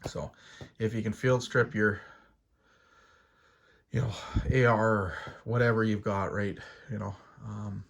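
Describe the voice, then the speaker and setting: A man's voice: lowish voice, little pitch movement, measured pace, gravelly, long pauses, dull audio. A man giving a casual, unscripted explainer as an outdoors gear host, rambling and hesitant in an informal way, often checking in with a tag question.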